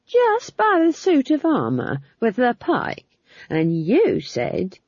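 A woman plays an old witch in an audiobook, defiant and unflappable. She talks back in a rustic, deadpan way, husky and expressive, on a slightly dull recording. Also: sits high, takes it slowly, no echo, voice pushed up, exaggerated voice. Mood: sly accusation. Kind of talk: audiobook character voice.

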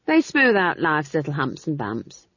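A narrator voicing a nervous male castle chamberlain who stammers and fumbles through excuses, with a husky voice. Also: medium pitch, wildly swooping pitch, a little slow, fairly bright tone, no room sound, highs cut off, full character voice. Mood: flustered. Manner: flustered, stammering.

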